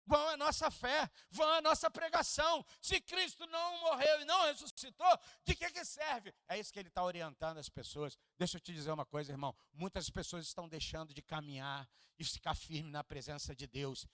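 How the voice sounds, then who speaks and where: A Brazilian pastor with a Rio de Janeiro accent and a fairly high voice preaches in a church. He swings between quiet, low asides and loud, lifted peaks, and he jokes with the congregation and asks them to repeat after him. The sound is close and dry, with little room echo. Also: fairly quick, hardly any pauses. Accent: Carioca Portuguese. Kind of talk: sermon. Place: church hall.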